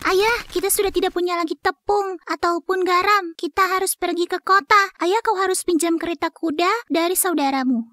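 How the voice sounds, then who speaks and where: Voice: extremely high pitch, fairly even intonation, moderate pace, few pauses, noisy background. A voice actor playing a worried peasant child in a dubbed cartoon, pleading with a father. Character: young peasant child worried about food.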